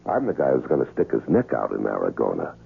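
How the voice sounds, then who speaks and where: An actor playing a shrewd, world-weary hired assassin in a 1970s radio drama, terse and tough, with an American accent. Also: male voice, a bass voice, huge pitch swings, fast, creaky, breathy edge, full character voice. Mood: hard bargaining. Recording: thin, phone-like vintage radio, music.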